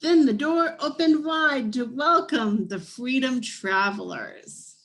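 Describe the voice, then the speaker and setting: A woman reading a children's picture book aloud as scripted narration, in deliberate, measured phrases with dramatic pauses. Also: high-pitched, fairly bright tone, low-quality recording. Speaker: storybook reader.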